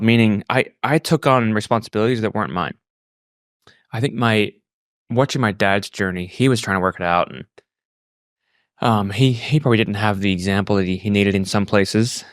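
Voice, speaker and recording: A man in his thirties with an Australian accent, telling his personal story reflectively and haltingly, repeating words as he thinks. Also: lowish voice, no echo, clean studio sound. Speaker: podcast guest.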